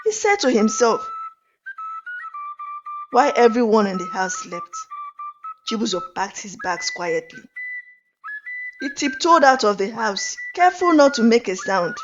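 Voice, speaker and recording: Woman storyteller, lively swooping intonation, deliberate dramatic folktale narration, dull recording, flute music behind. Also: a little high. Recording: dull lo-fi audio under music. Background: clear flute music.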